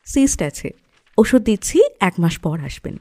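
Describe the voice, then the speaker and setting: A woman's voice: lowish voice, sing-song, normal speed, darkish tone. A woman playing a busy clinic doctor in an audio drama, matter-of-fact and instructing, reassuring yet a little stern. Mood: calm, reassuring. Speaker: voice actor. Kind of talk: audio story dramatic acting.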